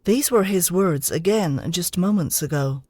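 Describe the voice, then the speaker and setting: A woman's voice: on the low side, expressive, measured pace, dark tone. An actress playing a serious female news reporter giving a formal, factual report in an audio drama.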